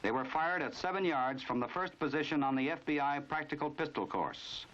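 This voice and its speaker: A man's voice: fairly high pitch, brisk, fairly bright tone, dull audio. An American man narrating a 1950s instructional film in a formal, authoritative, instructive manner on an old film soundtrack.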